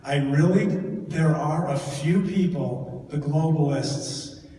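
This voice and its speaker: A man's voice: fairly high pitch, slow, mellow, a little hiss or hum. A man giving a guest talk and answering audience questions in a church hall. He often stumbles and repeats words as he thinks. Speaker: guest speaker. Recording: echoey hall from far off.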